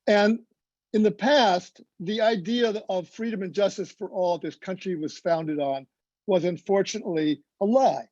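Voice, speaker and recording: A man with a notably high but warm, mellow voice reflects in a preacherly style. He phrases deliberately, item by item. The video-call sound is slightly dull. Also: dry recording. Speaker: roundtable panelist. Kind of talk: reflective, preacherly discussion remarks.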